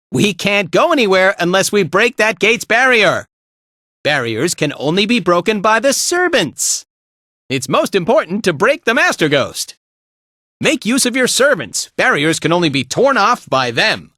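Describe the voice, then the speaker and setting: An easygoing, playful slacker fighter from a video game. He drawls casually and takes his time, with pitch that leaps all over the place. The sound is very dry and close. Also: bright, forceful tone, no echo, heavily acted. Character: laid-back, playful, slacker male fighter and guide. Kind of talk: animated video game voice lines.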